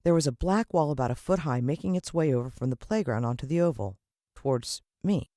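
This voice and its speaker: A woman with an American accent reading a children's story expressively, with dramatic emphasis and deliberate pauses. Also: sits low, expressive, mellow, no room sound, clean studio sound. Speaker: audiobook narrator.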